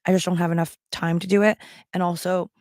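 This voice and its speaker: A woman with a raspy, strained voice chatting casually to camera as a vlogger, rambling with many ums and likes while she talks around a throat candy. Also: lowish voice, flat delivery, fast, a little mellow, no echo, studio quality. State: strained, worn voice. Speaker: livestream seller and vlogger.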